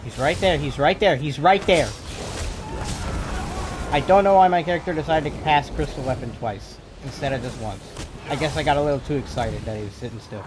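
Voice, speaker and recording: A man from North Carolina with a North Carolinian accent, chatting casually with viewers as he plays and streams a game, softly clipping the ends of his words. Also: a little high, fairly quick. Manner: chatty, reacts to the game while reading chat aloud; clips the ends of words, soft delivery. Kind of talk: casual livestream chat over gameplay. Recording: noisy stream mic with background noise.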